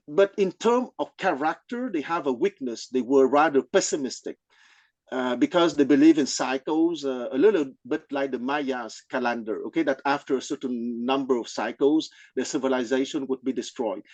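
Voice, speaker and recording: A man who is a non-native English speaker, explaining things in an informal scholarly discussion, haltingly and often checking with "okay?". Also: medium pitch, darkish tone, no echo. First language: not English. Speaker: study group participant.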